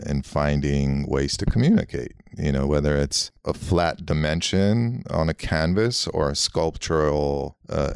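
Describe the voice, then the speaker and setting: A man's voice: a bass voice, gravelly, clean tone, no echo, studio quality. A man from Los Angeles whose first language is Armenian, a guest artist and architect musing in a meandering way with many fillers. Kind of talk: reflective interview talk.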